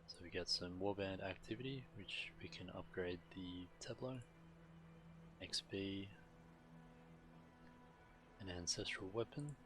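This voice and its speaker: A man streaming a video game, reading on-screen text and commenting casually in a measured, subdued way. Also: low-pitched, bright, forceful tone, slight background noise, low-quality recording.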